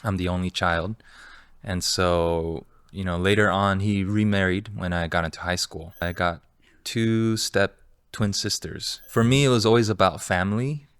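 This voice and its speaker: A man with a very low, smooth and resonant voice tells his story to an interviewer. He sounds relaxed and candid, with frequent fillers. The recording is close and dry. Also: normal speed, no echo. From Los Angeles, California. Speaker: guest, entrepreneur and fund manager.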